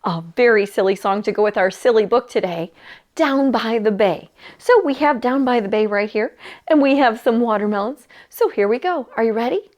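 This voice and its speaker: A woman leading a children's storytime, talking in an animated way and putting questions straight to young listeners. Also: a little high, normal speed. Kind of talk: children's storytime read-aloud and chat.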